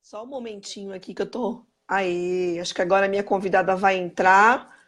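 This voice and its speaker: A woman with a Brazilian Portuguese accent hosts a live-stream chat in a hoarse voice at a middle pitch. She talks in an upbeat way, greeting viewers by name and using catchphrases, recorded on a webcam. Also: steady tone, brisk, a bit bright. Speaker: host.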